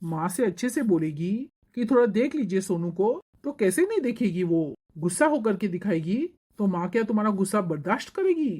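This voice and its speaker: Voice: medium pitch, very animated, brisk, few pauses, darkish tone. A performer voicing a reproving young husband and father in a cartoon family drama.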